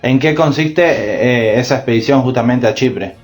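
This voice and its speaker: An Argentine man works as an interviewer, speaking conversationally at an average male pitch. He puts questions and drops in brief acknowledgements, with music audible behind him. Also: moderate pace.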